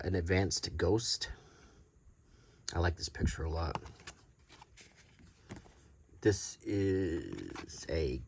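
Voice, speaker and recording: Low-pitched man in casual show-and-tell. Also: creaky, a little mellow, slightly rough. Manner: rambling, easygoing, thinking aloud. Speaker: host of a hobby collecting channel.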